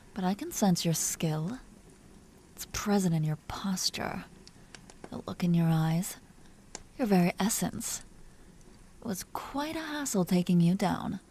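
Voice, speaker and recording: A woman playing an elegant vampire duchess in an audio roleplay, speaking softly with formal, languid, teasing courtesy and an amused, predatory air. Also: on the low side, lively intonation, slow, pauses often, a little hiss or hum. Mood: amused, taunting. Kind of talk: dramatic roleplay audio performance.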